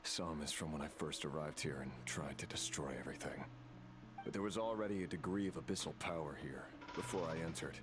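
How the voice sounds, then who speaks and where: An actor playing a brooding, remorseful fallen villain in a video game, speaking slowly and solemnly with halting phrases. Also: male voice, rumbling low, background noise. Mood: grave. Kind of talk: dramatic video game dialogue. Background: music.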